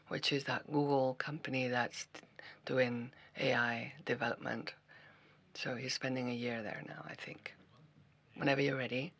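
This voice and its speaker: A man's voice: medium pitch, creaky, a bit bright, breathy edge. Wry, chatty man moderating a symposium. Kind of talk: introducing speakers at a conference. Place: lecture hall.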